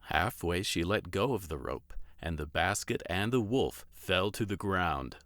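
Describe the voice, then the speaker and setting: A man's voice: deep voice, animated, measured pace, a bit bright, no room sound, clean studio sound. A man reading a children's story aloud as a scripted narration.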